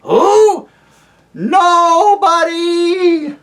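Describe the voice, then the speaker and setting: A reader voicing a flustered owl courtier who hoots and shouts with strain, in a storybook reading. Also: extremely high pitch, drawn-out words, pitched above the performer's own voice, heavily acted. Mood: shouting, flustered.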